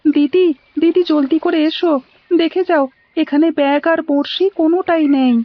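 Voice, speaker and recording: A woman's voice: high voice, steady tone, normal speed, close to an everyday voice. A woman voicing an eager, obedient little village boy, a younger brother in a cartoon. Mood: alarmed. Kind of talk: cartoon voice acting. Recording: dull lo-fi audio with music.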